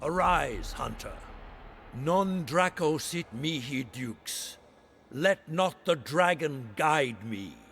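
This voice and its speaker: An actor playing a solemn high priest in a fantasy game, with a ceremonial, declamatory manner. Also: male voice, lively intonation, takes it slowly, creaky, fairly bright tone, a little hiss or hum, exaggerated voice. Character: solemn high priest of a dragon-hunting order. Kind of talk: dramatic fantasy game acting.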